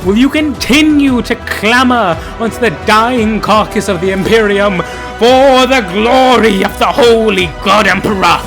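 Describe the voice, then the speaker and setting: A man delivering dramatic scripted lore narration, grave and portentous, in archaic oratorical phrasing with dramatic pauses. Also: high voice. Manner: grave, theatrical, portentous, addressing the listener as traveler. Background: background music.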